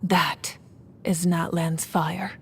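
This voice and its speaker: A woman playing a steadfast warrior leader in a video game, narrating in a solemn, restrained, measured way. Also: fairly low pitch, level tone, a bit bright, slight background noise, exaggerated voice. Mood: solemn, resolute. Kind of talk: scripted dramatic game narration.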